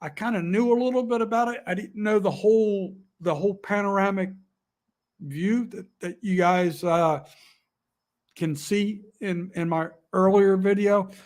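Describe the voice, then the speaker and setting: A man talking to camera in an emotional, rambling vlog, halting often with fillers and restarts. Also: high-pitched, steady tone, a little mellow. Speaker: outdoor vlogger. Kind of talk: emotional casual monologue.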